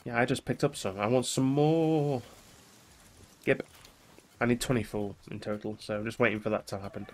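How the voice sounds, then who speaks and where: A man's voice: medium pitch, fairly even intonation, moderate pace, long pauses. A man with a stuffy, congested voice from a cold, chatting casually during co-op gameplay. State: has a cold, run down. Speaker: gaming video host.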